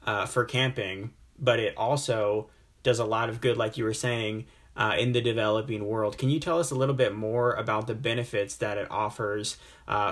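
A man hosts a casual interview podcast, voice plain and mid-pitched. He talks with enthusiasm and rambles a little, leaning on "you know" as he builds to his questions. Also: a bit bright. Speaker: podcast host.

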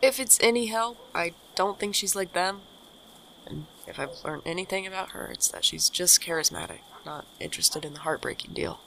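A woman's voice: fairly low pitch, animated, normal speed, vocal fry, sharp tone, slight background noise, heavily acted, low-quality recording. A woman playing a young, caring friend with dry, casual banter in an audio drama. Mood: concerned. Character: young Micah, teasing, caring friend and host. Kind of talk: naturalistic audio drama acting.

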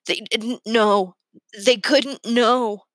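A woman voicing a sad, earnest boy in a storybook, talking in a plain, halting, heartfelt way. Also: on the high side, steady tone, a bit bright, no room sound, pitched above the performer's own voice. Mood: anguished.

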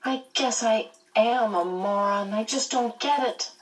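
A woman's voice: on the high side, measured pace, pitched above the performer's own voice, natural voice. A woman voicing an anxious, blunt teenage girl who talks earnestly and hesitantly, recorded at a distance.

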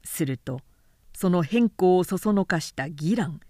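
A woman narrator reading literary fiction from a script in a measured, dramatic storytelling manner. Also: lowish voice, expressive, a little mellow, no room sound, clean studio sound. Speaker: narrator, professional reader.

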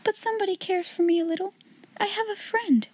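A woman voicing a young girl, breathy and hushed, half-whispering in an audiobook reading. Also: very high-pitched, animated, normal speed, pitched above the performer's own voice. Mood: tender. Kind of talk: audiobook character voice.

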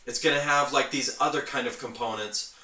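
Guy on a podcast nerding out, bright voice, roomy and kinda far from the mic. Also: medium pitch, steady tone, a little fast. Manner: enthusiastic, nerdy explaining. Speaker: podcast host. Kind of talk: casual hobby chat. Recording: roomy, echoey, distant from mic.

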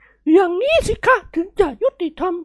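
A woman's voice: very high voice, lively intonation, moderate pace, no room sound, clean studio sound, voice pushed up. A woman voicing a plump middle-aged society lady who is effusive, coy and insistent in a story. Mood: delighted, coaxing. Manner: polite, effusive, coy feminine speech.

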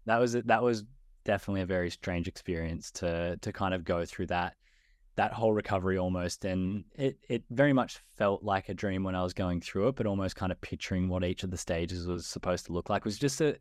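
A young Australian man, a guest in a podcast interview, speaking in a relaxed way. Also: sits low, a little fast, dry recording, studio quality. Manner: relaxed, many fillers and restarts. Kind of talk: conversational interview.

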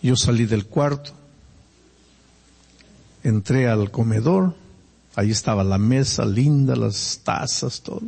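An older man from Peru with a Peruvian Spanish accent, preaching a sermon and telling personal stories, animated and swinging between loud emphasis and quiet asides. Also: medium pitch, takes it slowly, creaky, long pauses, husky, in a large hall.

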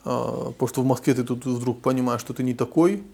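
A man's voice: medium pitch, fairly even intonation, quick pace, vocal fry, darkish tone, slightly rough. A man interviewing a guest, talking in a reflective, conversational way. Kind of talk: casual conversation.

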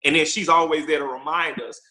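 Bright-voiced energetic man. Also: on the high side, fairly quick. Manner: energetic, repeats words while thinking. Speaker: guest, real estate investor. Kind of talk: casual podcast interview.

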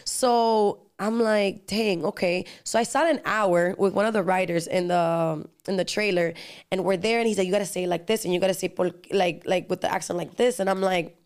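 A woman's voice: medium pitch, steady tone, fairly quick, a little mellow, clear, resonant tone. A young woman guest in a casual interview, talking animatedly with lots of "like" and dropping in Spanish phrases. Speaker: guest, singer and actress.

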